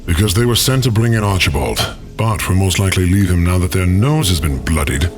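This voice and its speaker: A man's voice: sits low, lively intonation. A man playing a wise, loyal, dignified companion in a fantasy audio drama, speaking formally with dry patience. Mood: measured, assured. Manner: measured, formal, dryly patient. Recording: voice over background music.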